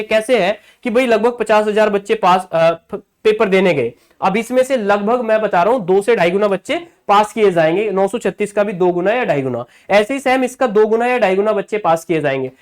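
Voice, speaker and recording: A male coaching teacher giving an online exam-prep lesson, who repeats key points, checks in with listeners often and addresses viewers familiarly as brothers. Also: high-pitched.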